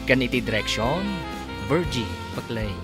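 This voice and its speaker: A man's voice: medium pitch, normal speed, loud music behind the voice. A man with an Ilocano Filipino accent doing scripted, dramatic announcing for a radio drama.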